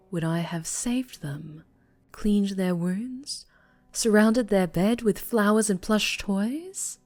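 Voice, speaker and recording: A woman playing an obsessive female superhero captor in an ASMR roleplay, speaking in breathy coos and whispers with sweet, possessive menace. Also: fairly low pitch. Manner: breathy cooing that slips into whispers and soft menace. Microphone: close microphone.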